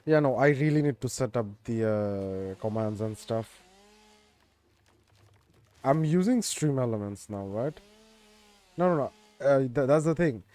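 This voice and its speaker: Raspy guy rambling on his game stream, slow and mellow, dry close mic, lots of ums. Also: medium pitch, lively intonation. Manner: laid-back, rambling, many fillers. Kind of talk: casual game stream chat.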